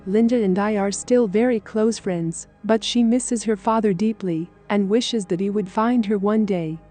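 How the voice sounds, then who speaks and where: A woman's voice: mid-range voice, normal speed, warm tone. A woman reading a scripted first-person account of a paranormal experience as a story narrator. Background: music.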